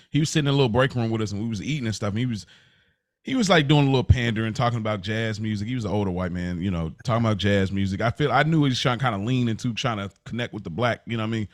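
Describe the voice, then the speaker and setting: A man's voice: fairly low pitch, dry recording. Man telling stories casually, dry podcast sound. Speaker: podcast co-host. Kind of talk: casual conversational storytelling.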